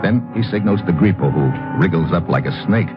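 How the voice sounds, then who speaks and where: A low, lively-pitched tough guy in a vintage radio adventure. He is wry and wisecracking, telling his own case like a hard-boiled hero. The old recording is dull, with music behind. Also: brisk. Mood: tense narration. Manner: wisecracking, sardonic. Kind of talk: 1950s radio adventure acting.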